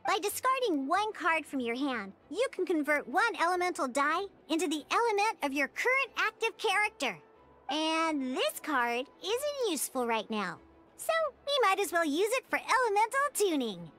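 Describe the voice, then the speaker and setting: A woman voicing a peppy young cartoon mascot in a video game tutorial, chirpy and childlike, eagerly explaining with expressive exclamations. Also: very high voice, moderate pace, faint music behind, heavily acted. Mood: explaining, encouraging.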